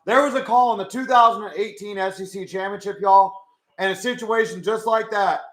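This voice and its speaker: A young man with a hoarse voice, chatting casually with his live chat as he watches a football game. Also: high-pitched, steady tone, a little fast, echoey room. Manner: chatty, swears, talks to chat. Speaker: live stream host.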